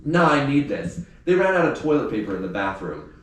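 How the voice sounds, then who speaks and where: A man's voice: medium pitch, big pitch swings, brisk, voice pushed up, natural voice. A man voicing a mocking teenage boy bully in a novel read aloud, taunting and jeering. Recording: echoey room, distant mic, faint noise.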